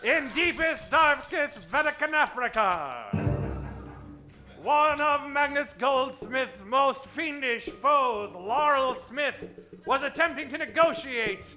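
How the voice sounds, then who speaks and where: A man's voice: extremely high pitch, expressive, takes it slowly, sharp tone, faint music behind. A man narrating an old-time radio show in a theatrical, mock-dramatic announcer style.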